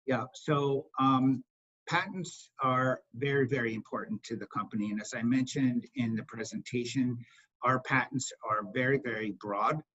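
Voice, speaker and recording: A husky man speaking as a company executive, answering questions on an investor call in a halting way, repeating words for emphasis. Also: mid-range voice, pushed and bright. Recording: dull, lo-fi conference call audio.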